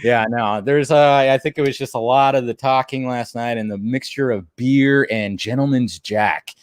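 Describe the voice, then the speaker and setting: A man co-hosting a stream, chatting casually and rambling, with a laugh, his voice a bit deeper than usual after a late night. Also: normal speed, smooth. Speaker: co-host of a watch-along stream. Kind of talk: casual commentary chat.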